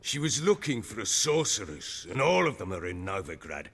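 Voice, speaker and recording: An actor playing a rough, middle-aged warlord baron in a video game, gruff and husky yet sentimental. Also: male voice, fairly low pitch, big pitch swings, normal speed, fairly bright tone, big-room sound, exaggerated voice. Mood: gruff, matter-of-fact. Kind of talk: dramatic video game acting.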